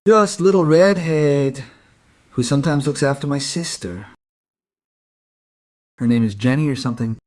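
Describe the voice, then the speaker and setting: Casting: resentful jobless young man under questioning, male actor, fairly high voice, evasive and surly, crime radio play. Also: a little slow, pauses often, a little mellow, natural voice. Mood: reluctant. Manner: sullen, evasive. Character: defiant, resentful young unemployed working-class male suspect.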